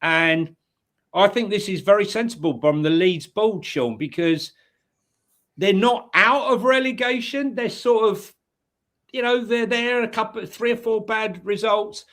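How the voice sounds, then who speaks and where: A man's voice: high-pitched, measured pace, fairly bright tone. A man co-hosting a casual football chat show, rambling enthusiastically with lots of fillers. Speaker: co-host.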